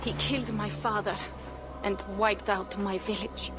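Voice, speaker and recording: A woman's voice: medium pitch, fairly even intonation, a little slow, music in the background, lightly acted. A woman playing a bold, persuasive Norse warrior in a dramatic video game, speaking in formal, old-fashioned phrases. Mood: earnest, grave. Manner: declarative, archaic formal phrasing.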